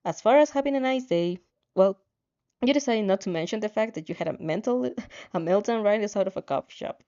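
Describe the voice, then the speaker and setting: A woman narrating a story in an expressive amateur storytelling style. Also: mid-range voice, a little fast, lo-fi sound. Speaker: fan fiction reader.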